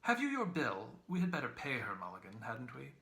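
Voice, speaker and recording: A man reading a novel aloud as a polite, earnest young English gentleman with a formal, courteous manner. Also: medium pitch, huge pitch swings, a little fast, vocal fry, reverberant, full character voice. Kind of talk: literary audiobook character reading.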